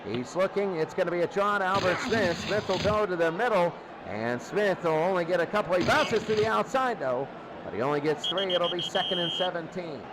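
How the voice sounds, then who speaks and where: A high-voiced man does live play-by-play for a football game with an excitable delivery. He follows each down over a clearly noisy recording. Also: fairly quick. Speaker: play-by-play announcer. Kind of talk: live American football commentary.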